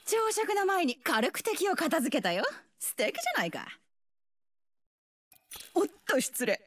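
An actress voicing a brisk, motherly, teasing and quietly ruthless middle-aged woman scientist in a game dub, with playful, theatrical phrasing. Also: high voice, very animated. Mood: mock sympathy, smug. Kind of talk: Japanese game dub voice lines.

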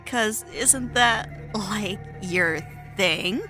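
A woman voicing a cruel, mocking young villainess in a comic dub, with a taunting, menacing, drawn-out delivery. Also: mid-range voice. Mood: gloating, taunting. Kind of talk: dramatic comic dub acting. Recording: music behind the voice.

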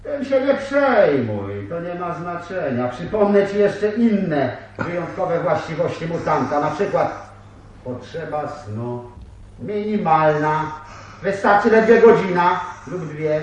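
An actor playing a theatrical prankster in a radio play. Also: male voice, fairly high pitch, very animated, slow pace. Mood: menacing, mocking. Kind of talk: Polish radio play acting. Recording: very echoey, distant, faintly noisy.

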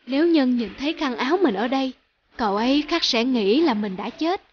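A woman's voice: high-pitched, lively intonation, brisk, highs cut off, slight background noise. A woman voicing a modest young male teacher in a cartoon folk tale, speaking sincerely and apologetically. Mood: sad resolve. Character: modest, self-respecting young male teacher, gentle friend. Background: music.

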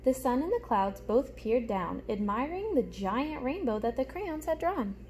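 A young adult woman reading a storybook aloud to children like a teacher, with animated, explanatory asides, recorded in a room at home. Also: high voice, measured pace. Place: small room at home, indoors. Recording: clear background noise, room ambience.

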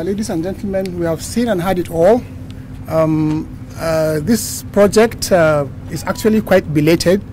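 A man's voice: fairly high pitch, a little mellow, a little hiss or hum. A man speaking to the press with many hesitant fillers. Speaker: official addressing the press. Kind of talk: remarks to the press.

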